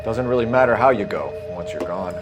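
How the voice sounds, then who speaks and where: A man's voice: on the low side, brisk, close to an everyday voice. An American man playing a keen, confident young police patrolman in a video game, clipped and matter-of-fact. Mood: cool, observant. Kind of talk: video game dialogue acting. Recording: music behind the voice.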